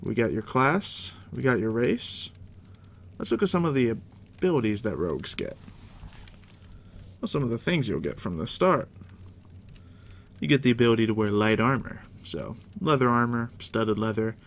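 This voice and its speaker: A man doing a soft, deep-whispered ASMR roleplay as a game master, unhurried and reassuring, speaking directly to the listener up close. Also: animated, vocal fry. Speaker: game master guiding a new player.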